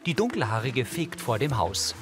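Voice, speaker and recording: A man narrates scripted audio description in short, neutral sentences. His voice sits at a medium pitch, with clear music underneath. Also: very animated, moderate pace. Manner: neutral, concise.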